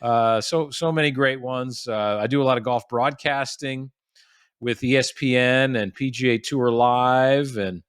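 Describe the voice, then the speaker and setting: A man in his forties from the United States tells stories easily as a podcast guest, restarting sentences and leaning on fillers. His voice sits in an ordinary middle pitch. The recording is dry and close, with no room sound. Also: fairly even intonation, unhurried, clear, resonant tone, dry recording. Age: 40s. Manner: chatty storyteller with frequent restarts and fillers. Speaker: guest, golf professional. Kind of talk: casual podcast interview.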